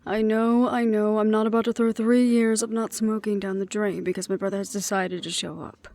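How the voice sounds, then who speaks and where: Audio roleplay lead: actress as a dutiful middle-aged woman in charge, medium pitch, composed and resolute. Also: flat delivery, lightly acted. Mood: tense, wry. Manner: measured, reflective, quietly firm.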